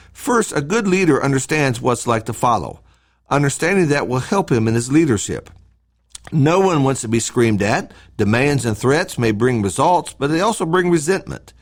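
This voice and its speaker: A man reads a scripted devotional talk as a church podcast host, in a measured, instructive way with short emphatic phrases. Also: mid-range voice.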